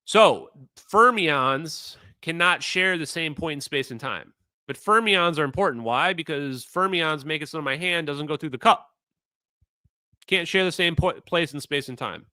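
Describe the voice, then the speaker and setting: A man's voice: fairly high pitch, a little slow, sharp tone, dry recording. A man from Minnesota with a Minnesota accent, hosting a livestream and talking casually, rambling with fillers and repeated phrases. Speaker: streamer host.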